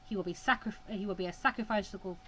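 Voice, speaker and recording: A woman voicing a cold, self-assured male mediator in measured, formal visual novel dialogue. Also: medium pitch, fairly bright tone, slight background noise, close to an everyday voice, low-quality recording. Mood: calculating, certain.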